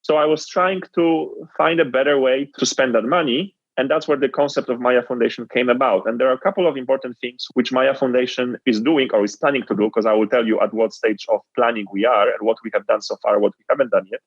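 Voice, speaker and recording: A man's voice: mid-range voice, fairly even intonation, quick pace, hardly any pauses, no room sound, muffled recording. A man from Poland with a Polish accent, telling his story as an interview guest in an earnest, reflective way. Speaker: guest entrepreneur.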